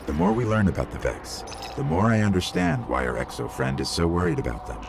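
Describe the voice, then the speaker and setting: A man's voice: lowish voice, very animated, fairly quick, vocal fry, background noise. A man voicing a small robot companion in a game, earnest and wry as he explains and guides. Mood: earnest, explaining. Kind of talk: scripted game dialogue.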